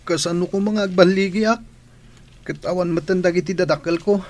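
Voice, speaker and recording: A man's voice: sits high, fairly even intonation, brisk, darkish tone, natural voice. A man playing a grief-stricken, plaintive orphaned schoolboy in an Ilocano radio drama. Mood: dejected.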